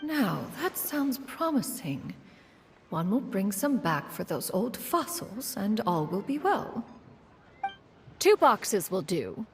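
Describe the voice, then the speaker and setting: A woman's voice: medium pitch, sing-song, slow pace, a little hiss or hum. A woman voicing an ancient, proud female adeptus who speaks in formal archaic terms and calls herself "one". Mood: deliberating. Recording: game audio with music.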